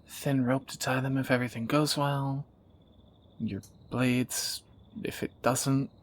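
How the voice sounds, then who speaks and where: A man playing a teasing, protective captor turned lover in a romantic fantasy audio roleplay, bantering and soft-spoken. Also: mid-range voice, natural voice. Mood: fond banter.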